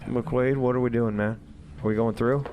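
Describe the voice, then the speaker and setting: A man's voice: mid-range voice, steady tone, normal speed, highs cut off, a little hiss or hum. A man bantering casually, partly in character, as a player in a tabletop game.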